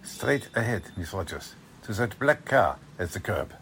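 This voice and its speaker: An actor playing a coldly polite Nazi agent in a radio drama, with a German accent and formal, deliberate, threatening phrasing. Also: male voice, lowish voice, big pitch swings, fairly bright tone, a little hiss or hum, heavily acted. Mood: quiet menace. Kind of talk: 1940s radio drama acting.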